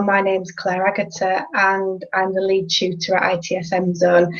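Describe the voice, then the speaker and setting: A woman hosting a live web show, introducing the guest and explaining topics in a clear, organised way. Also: mid-range voice. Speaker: host, lead tutor. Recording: dull lo-fi sound.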